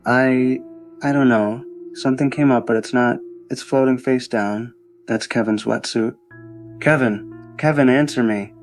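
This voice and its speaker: A man playing a panicked young man phoning for emergency help in an audio drama, halting and repeating himself. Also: mid-range voice, fairly even intonation, faint music behind, close to an everyday voice. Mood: shocked, distressed.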